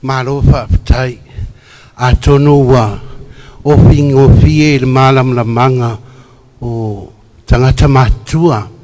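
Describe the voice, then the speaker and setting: A man's voice: mid-range voice, steady tone, slow pace, pauses often. A man in the audience politely asking a question from the floor at a church event. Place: church hall. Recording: echoey hall with faint noise.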